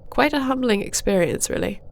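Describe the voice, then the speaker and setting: A woman playing a dignified, dutiful young warrior princess in a fantasy audio roleplay, speaking formally and with measured, stately diction. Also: fairly high pitch, expressive, gravelly. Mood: formal, reserved.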